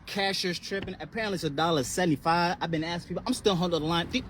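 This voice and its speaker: A man's voice: high voice, rapid-fire, a bit bright, slight background noise. A man playing a pushy, wheedling street panhandler who pleads and preaches in a comedy sketch.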